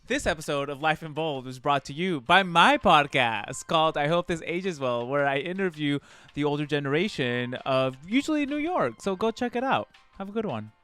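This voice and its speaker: A man's voice: on the high side, lively intonation, normal speed, fairly bright tone, smooth, faint music behind. An American man in his thirties from Washington state, chatting casually and playfully as a comedian guest. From Washington state, USA. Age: 30s. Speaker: stand-up comedian, podcast guest. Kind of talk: casual chat.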